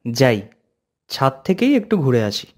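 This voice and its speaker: A man voicing and narrating as a young man returning to his village in a horror cartoon, swinging between eager and hushed tones. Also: medium pitch, big pitch swings, moderate pace, natural voice. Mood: musing. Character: young man Ajit, returning home, anxious.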